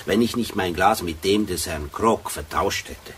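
An actor playing a shrewd, grumbling old police sergeant in a radio drama, gruff and wry. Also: male voice, low-pitched, a little slow, a bit bright. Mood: wry, probing. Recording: faintly noisy radio drama recording.

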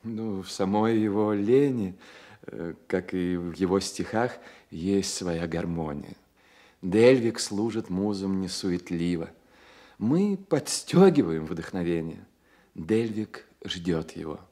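An actor playing a proud, witty young poet in a radio drama, with measured, declamatory delivery. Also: male voice, sits low, animated, mellow, heavily acted. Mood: reflective. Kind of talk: Soviet radio drama acting.

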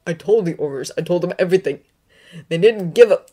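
A woman voicing a grieving young man who speaks in clipped, halting bursts. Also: lowish voice, animated, dark tone. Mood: bitter.

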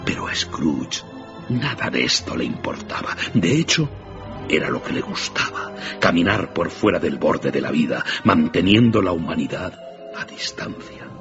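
Narrator for radio drama: male, low-pitched voice, dramatic storytelling delivery, music behind, slightly dull recording. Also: big pitch swings, normal speed. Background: clear background music.

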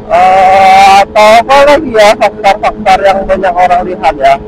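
Man, high pitch, slangy casual motovlog chat, helmet intercom, clear engine and wind noise. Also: measured pace. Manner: slangy, addresses viewers as friends. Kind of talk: casual chat while riding. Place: outdoors on a motorcycle at night. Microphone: intercom headset fed into action camera. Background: motorcycle engine, passing traffic, wind.

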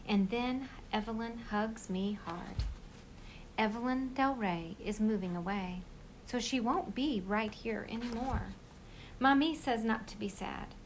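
A woman reading a children's picture book aloud in an expressive storytelling style. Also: mid-range voice, slight background noise, low-quality recording.

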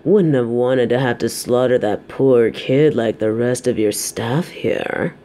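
A man voicing a surly, menacing wolf bandit in an audio drama, gruff and dismissive. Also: medium pitch, a little slow, mellow, close to an everyday voice. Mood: smug, menacing. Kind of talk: fan audio drama acting.

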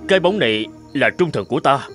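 A man's voice: medium pitch, fast, faint music behind, lightly acted. A man voicing a pompous, impatient king who fires off demanding questions. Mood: incredulous. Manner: brusque, questioning, raised and lively. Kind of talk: cartoon voice acting.

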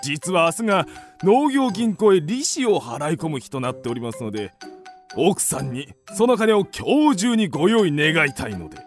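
An actor playing a pompous, short-tempered landowner in a radio drama, formal yet explosive. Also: male voice, a little high, sing-song, moderate pace, soft background music. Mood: stiffly formal. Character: blustering, short-tempered male landowner and creditor, scornful of women.

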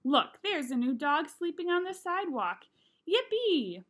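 A woman's voice: very high voice, very animated, unhurried, a bit bright, voice pushed up, heavily acted. A woman reading the part of a bored, scornful rich schoolboy from a children's novel, sarcastic and slangy. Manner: sarcastic, dismissive.

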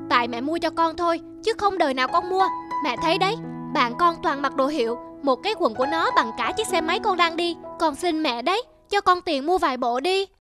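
A woman voicing a selfish young adult son in an animated moral tale, whining and complaining demandingly. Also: very high voice, brisk, music in the background. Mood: whiny demanding. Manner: petulant, complaining.

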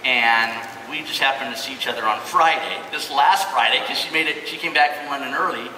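A man's voice: mid-range voice, fairly quick, bright, forceful tone. A man hosting an onstage panel, casual and chatty, telling stories in a jokey way. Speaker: panel host. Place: large convention hall stage. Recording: echoey hall PA, distant, noisy crowd.

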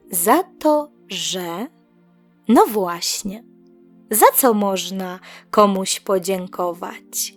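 A woman narrates a scripted children's tale in a measured, deliberate way, pausing between short phrases. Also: medium pitch. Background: music.

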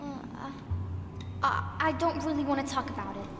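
An actress voicing a lonely, eerie little ghost girl in a video game, childlike and halting, with a stammer in her phrasing. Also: sits high, lively intonation, noisy background. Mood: wistful, evasive. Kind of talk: cinematic video game acting. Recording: cavernous long reverb under loud music.

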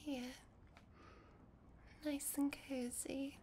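A woman playing a shy, affectionate young vampire in a soft, hesitant, intimate ASMR roleplay, often dropping to a whisper. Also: high voice, flat delivery, very slow, a little hiss or hum. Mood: welcoming, shy. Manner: gentle, hesitant, rambling, often drops to a whisper.

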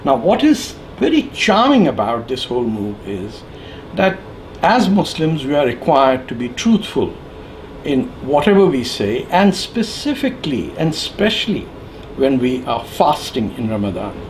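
A man in his sixties giving an opinion monologue to camera, emphatic and sardonic, with deliberate phrasing. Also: mid-range voice, big pitch swings, rough recording. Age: 60s. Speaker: political commentator. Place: living room with bookshelves, indoors. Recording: clear background noise.

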